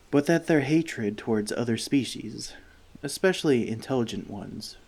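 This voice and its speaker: Guy doing a friendly male elf for asmr roleplay, mid pitched, wry and teasing. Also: creaky, a little mellow. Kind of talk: ASMR roleplay addressed to the listener.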